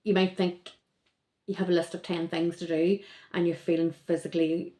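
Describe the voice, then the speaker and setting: A woman's voice: on the low side, normal speed, roomy sound. A woman speaking as a podcast guest in casual conversation, rambling and correcting herself as she goes. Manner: rambling, self-correcting, lively.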